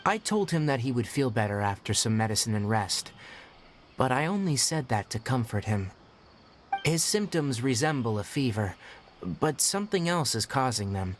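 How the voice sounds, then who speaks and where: An actor voicing a young male forest ranger and healer in a video game, calm and matter-of-fact with a light teasing edge. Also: mid-range voice, a little hiss or hum, lightly acted. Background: game music.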